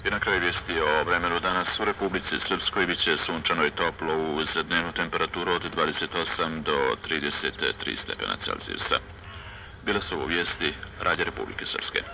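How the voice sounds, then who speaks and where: A man's voice: sits low, steady tone, quick pace, sharp tone. A man reading a scripted news and weather bulletin on the radio. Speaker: radio newsreader. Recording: radio broadcast with background noise.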